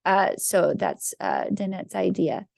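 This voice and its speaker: A woman's voice: mid-range voice, expressive, slow, creaky, darkish tone, dry recording. A woman moderating a teachers' discussion who hesitantly reads a chat comment aloud. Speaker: session moderator.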